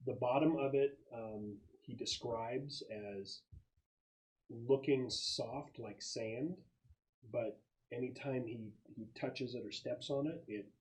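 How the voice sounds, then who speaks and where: A man working as game master, describing scenes and answering questions in a halting, explanatory way during a tabletop game. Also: fairly low pitch, poor audio.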